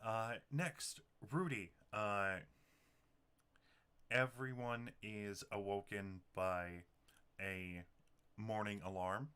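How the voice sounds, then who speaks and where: A man narrating a tabletop roleplaying game as game master, talking haltingly as he thinks things through. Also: on the low side, bright, forceful tone, smooth.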